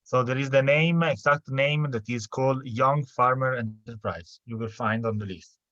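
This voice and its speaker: A man's voice: mid-range voice, normal speed, fairly bright tone, no room sound. Man moderating, dry muffled sound. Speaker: event moderator. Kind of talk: moderating an online session. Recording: dry muffled call audio.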